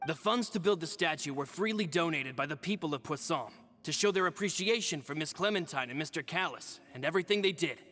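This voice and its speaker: Courteous young aide, bright, slightly high voice, formal delivery, game dub. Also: male voice, animated, a little fast, few pauses, a little hiss or hum. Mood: polite. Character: young man, loyal polite assistant to his boss. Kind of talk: video game voice acting.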